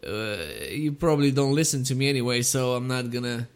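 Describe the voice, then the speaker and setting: A man's voice: mid-range voice, brisk, clean tone. A man, hosting his own podcast in a casual, rambling, self-deprecating monologue full of hesitant fillers. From Bulgaria. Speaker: podcast host and comedian.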